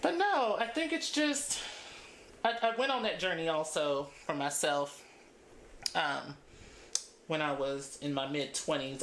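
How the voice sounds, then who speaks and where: A woman in her thirties holds a casual storytime chat with her viewers as she braids her hair at home. Her voice sits a little low. She talks in loose, chatty runs and laughs often between her thoughts. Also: a bit bright, reverberant, slight background noise. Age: 30s. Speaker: beauty vlogger.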